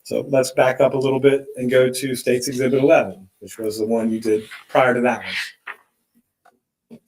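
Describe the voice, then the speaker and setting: A man's voice: medium pitch, level tone, normal speed, a little mellow. Echoey man questioning a witness in court. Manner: probing, leading questions. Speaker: defense attorney. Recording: echoey courtroom playback on live stream.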